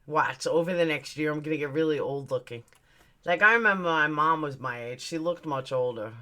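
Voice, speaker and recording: A woman in her late forties hosts a casual livestream, chatting away as she reads out viewer comments and answers them bluntly. Her voice sits a little low but sounds bright and forceful, and she swears freely. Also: fairly quick. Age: 40s. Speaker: livestream host.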